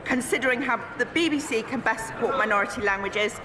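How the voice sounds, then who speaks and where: A woman with a Welsh accent, a government minister giving formal, measured answers in a parliamentary debating chamber. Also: fairly high pitch, music in the background. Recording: echoey chamber with murmuring crowd.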